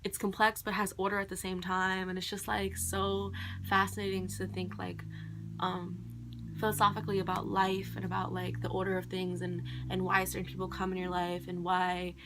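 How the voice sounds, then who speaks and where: A woman's voice: medium pitch, monotone, moderate pace, background noise. A young woman, a college student whose first language is Khmer, rambling casually and thoughtfully to camera with many "like"s and "um"s. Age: young adult. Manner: rambling, heavy on "like" and "um".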